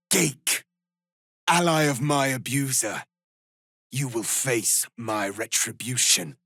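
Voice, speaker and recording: An actor playing a proud, stern, noble alien rebel leader in a fantasy video game, speaking formally and with deliberate, solemn authority. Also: male voice, medium pitch, no room sound, clean studio sound. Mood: cold contempt. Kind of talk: dramatic fantasy game acting.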